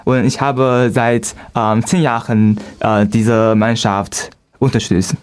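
Halting young man from China. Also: mid-range voice, flat delivery, smooth. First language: Chinese. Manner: halting, frequent ums. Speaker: student guest. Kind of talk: scripted school panel talk.

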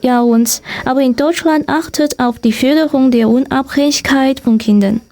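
High-voiced woman with a Chinese accent. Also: moderate pace, darkish tone. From Xiamen, southeast China. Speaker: guest on a radio show. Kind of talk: prepared interview answers.

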